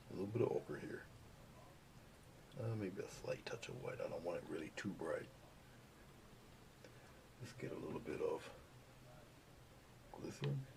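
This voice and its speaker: A man with a very low, gravelly voice mumbles softly as he paints live for his viewers. He does not project, and he is picked up by the camera's own mic at a low volume. A clear hiss runs under everything. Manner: soft-spoken, mumbling asides while working. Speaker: painting livestream host. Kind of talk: casual live painting tutorial. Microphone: camera's built-in mic, not the lapel mic. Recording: noisy, low-volume webcam audio.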